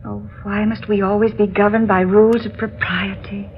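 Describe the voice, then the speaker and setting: A woman's voice: mid-range voice, flat delivery, moderate pace, a little hiss or hum, close to an everyday voice. A woman playing a melodramatic princess, old radio. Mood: tender. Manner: pleading, melodramatic. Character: gentle young princess, daughter of a world ruler. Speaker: radio drama actress. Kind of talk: 1940s radio acting. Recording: muffled old radio broadcast.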